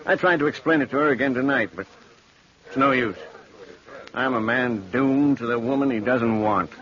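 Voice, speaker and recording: A man's voice: medium pitch, moderate pace, close to an everyday voice. A man acting a despondent, self-pitying, weary fellow in an old-time radio drama. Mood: troubled, confiding. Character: despondent, weary man trapped by an unwanted romance. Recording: dull old radio recording, crowd behind.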